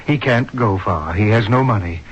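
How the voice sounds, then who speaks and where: A man's voice: on the low side, animated, normal speed, a little hiss or hum, close to an everyday voice. An actor playing a grave, authoritative male hospital doctor in a 1970s radio drama, speaking emphatically. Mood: pressing. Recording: muffled vintage radio broadcast.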